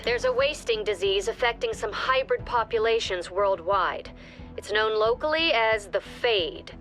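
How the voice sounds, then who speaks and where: A woman playing a calm, commanding agency director. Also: medium pitch, natural voice. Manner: measured, commanding briefings. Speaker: director giving briefings.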